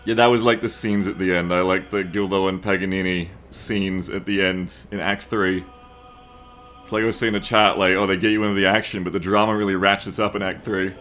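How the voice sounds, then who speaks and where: A man's voice: sits low, fairly even intonation, fairly quick, a bit bright, soft background music. A man hosting a game stream, chatting casually about the story in sweary, colloquial banter.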